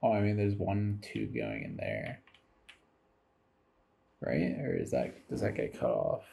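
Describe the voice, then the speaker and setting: A man with a very low, creaky, husky voice rambles casually as he comments on a game. He sounds tired, muses aloud as he goes and drops in the odd swear word. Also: sing-song, slow, mellow. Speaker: video creator commenting over game footage.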